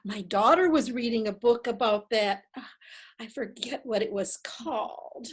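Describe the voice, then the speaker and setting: A woman playing a slow, forgetful old widow. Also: fairly high pitch, slightly rough. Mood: mild. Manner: hesitant, slow and mild. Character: forgetful gentle old widow, mild and proper. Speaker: actor. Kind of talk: readers theatre reading.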